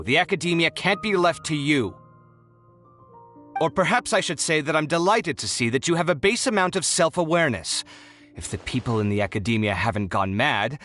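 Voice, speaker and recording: A young man voicing an excitable, exasperated architect in a video game, with dramatic, emphatic delivery. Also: fairly high pitch, moderate pace. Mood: haughty. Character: excitable, indignant, debt-ridden young male architect. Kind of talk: animated video game voice acting. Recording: studio voice over game music. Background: game music.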